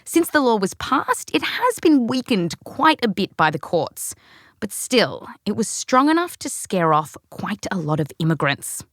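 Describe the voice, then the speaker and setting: A woman's voice: mid-range voice, big pitch swings, unhurried, a bit bright, no room sound, clean studio sound. An Australian woman hosting a science podcast in a scripted narration with playful, jokey asides. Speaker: podcast host.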